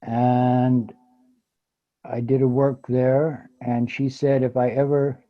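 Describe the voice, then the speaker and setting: A man in his seventies sharing an unscripted personal reminiscence at an online memorial, halting with long pauses between words. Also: mid-range voice, little pitch movement. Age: 70s. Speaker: memorial speaker. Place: online video call. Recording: dull, lo-fi online call audio.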